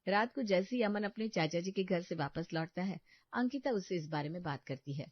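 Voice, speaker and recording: A woman reads scripted storybook narration in a steady, even manner. The audio is dull and lo-fi, and it sounds dry and close. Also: on the low side, a little fast, dry recording.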